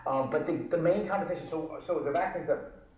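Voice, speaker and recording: A man's voice: a little high, a little fast, a little mellow. A man with a Rhode Island accent, a guest chatting casually on a podcast in a rambling way with many fillers and restarts. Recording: echoey, dull lo-fi remote audio.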